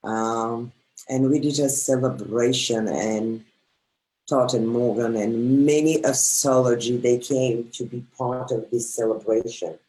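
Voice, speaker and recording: A woman from Bulgaria giving a slow, heartfelt spiritual talk as a guest. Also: rumbling low, fairly even intonation, warm tone, low-quality recording. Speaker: guest speaker.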